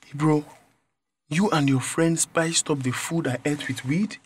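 A man's voice: on the high side, fairly even intonation, unhurried, husky. A man playing a betrayed, hot-tempered young friend with a Nigerian accent, confrontational and exclamatory in a radio drama. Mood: angry.

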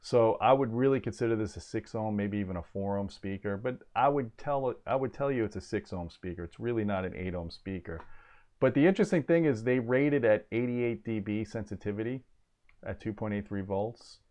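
A man in his forties hosts an informal technical explainer. His voice sits somewhat low. He is plain-spoken and opinionated, stressing his points as he talks into a clip-on mic in a small home office. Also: brisk, darkish tone. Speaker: host of an audio gear review channel.

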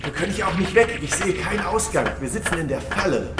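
A man's voice: high voice, lively intonation, fast. Wry podcast moderator played by a man, echoey. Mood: wry, tense. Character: wry male podcast moderator playing space hero. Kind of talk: amateur German audio drama acting. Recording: echoey, distant, music underneath.

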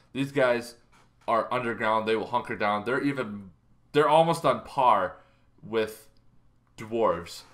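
A man in his thirties explaining things casually as a show host, in an informal, halting way. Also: lowish voice, sharp tone. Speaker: host of a tabletop roleplaying lore show. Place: bedroom, indoors.

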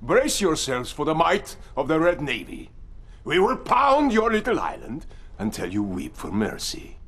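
An actor playing a menacing, pompous Soviet general with a Russian accent in a game cutscene. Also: male voice, on the high side, huge pitch swings, measured pace, fairly bright tone, slightly rough, heavily acted. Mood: menacing gloating. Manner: pompous, threatening. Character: menacing male Soviet general, haughty and theatrical.

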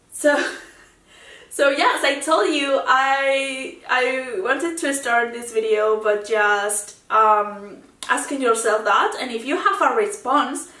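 A woman's voice: high voice, bright, forceful tone, echoey room, recorded from a distance. A woman, talking casually to camera as a channel host, full of fillers and easy laughter. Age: 20s. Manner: chatty, lots of fillers and restarts, laughs easily. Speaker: YouTube reaction host. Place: indoor room in front of a framed seascape painting.

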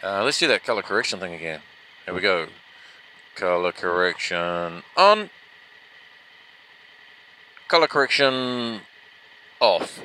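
A man's voice: sits low, very animated, unhurried, long pauses, sharp tone. A man chatting casually as a motovlogger, rambling and self-deprecating, talking inside a helmet while riding. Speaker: motovlogger reviewing action cameras. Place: outdoors on a motorbike, inside a helmet. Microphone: helmet microphone with foam fluffy. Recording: noisy helmet mic, vehicle and wind noise.

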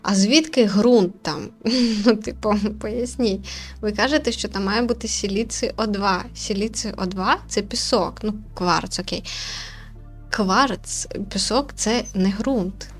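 A woman's voice: medium pitch, measured pace. A woman hosting a casual let's play, commenting informally and playfully as she nitpicks. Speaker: gaming host, player commenting. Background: game music.

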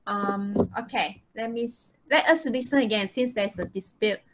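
A woman's voice: medium pitch, normal speed, heavy background noise. A woman teaching an English lesson on a video call, checking understanding with short questions. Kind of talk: interactive online lesson.

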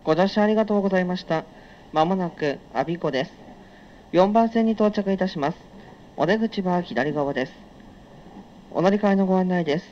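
A man's voice: high-pitched, fairly quick, pauses often, a little mellow, muffled recording, slight background noise. A man speaking as a train conductor, making polite, formal onboard announcements in stock phrases.

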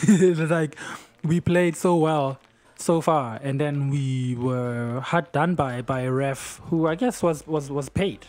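A young man who is not a native English speaker, rambling excitedly over his own gameplay with lots of fillers. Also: medium pitch. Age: young adult. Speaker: gaming youtuber. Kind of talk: casual gameplay commentary.

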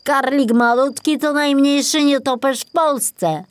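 A woman's voice: high voice, fairly even intonation, measured pace, no room sound, voice pushed up. A woman voicing a fussy, protective male forest gnome in a children's story, with a knowing, admonishing manner. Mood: chiding.

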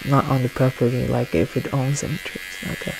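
A young man doing a soft-spoken, often whispered ASMR dentist roleplay, halting and gently reassuring, speaking close through a face mask. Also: medium pitch, vocal fry, noisy background. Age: young adult. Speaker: ASMR performer playing a dentist. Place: small plain room indoors. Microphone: close to the mic, facing camera, through a face mask.

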